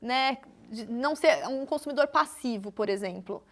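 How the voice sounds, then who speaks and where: A woman with a Brazilian accent and a clearly high voice hosts a television interview. She frames her questions politely and with deference, keeping a formal yet conversational delivery. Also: normal speed.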